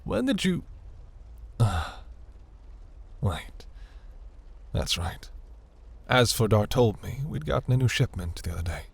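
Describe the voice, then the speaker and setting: A man voicing a seductive, imperious demon prince in a fantasy audio roleplay, velvety, teasing and grandiose, with a breathy, gravelly murmur. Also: lowish voice, sing-song, takes it slowly, long pauses, dry recording, exaggerated voice. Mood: curious.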